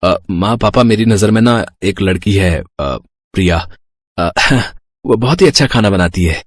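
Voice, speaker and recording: A man's voice: fairly low pitch, animated, measured pace, a little hiss or hum. A man voicing a bashful, hesitant young son in love in a cartoon story. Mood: shy. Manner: hesitant, filler-laden. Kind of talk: Hindi cartoon story dialogue.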